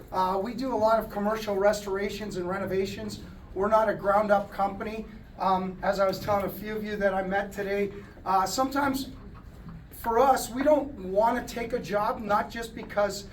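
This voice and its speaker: A man's voice: sits high, a little hiss or hum. A man who runs a contracting business, giving a plainspoken speech at a company party with many fillers and restarts. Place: indoor event hall. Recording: distant mic in a crowded hall.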